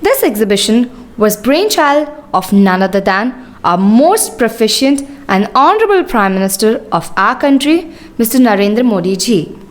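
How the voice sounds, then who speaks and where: A woman's voice: on the high side, big pitch swings, normal speed. A woman with an Indian accent presenting a school show in a formal, scripted and ceremonious speech. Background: music.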